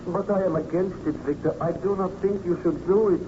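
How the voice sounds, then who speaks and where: An actor playing a loyal, cautioning friend, with earnest, formal diction in an old radio drama style. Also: male voice, high-pitched, fairly even intonation, fairly quick, lightly acted. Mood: urgent, reproving. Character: loyal, cautioning male friend of a tormented scientist. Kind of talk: 1930s radio drama acting. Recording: muffled, noisy old radio recording.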